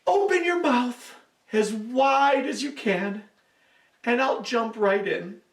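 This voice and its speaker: A man voicing a clever, cheeky male monkey in a told story, talking in a high, excitable way. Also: slow pace, distant mic, heavily acted. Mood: sly. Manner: squeaky, excitable, gleeful. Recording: echoey room, voice off-mic.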